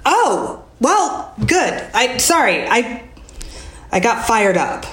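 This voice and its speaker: A woman's voice: on the high side, big pitch swings, slow pace, a bit bright, full character voice. A woman playing an idealistic, fired-up queer teenage activist with an American accent, speaking emphatically and casually in an audio drama. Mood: sheepish, fond. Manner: emphatic, earnest, casual swearing. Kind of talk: naturalistic audio drama dialogue. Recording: roomy, echoey space.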